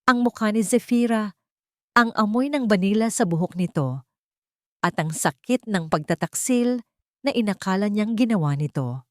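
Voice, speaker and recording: A woman's voice: mid-range voice, no echo, clean studio sound. A woman reading a scripted, dramatic love story as a storyteller.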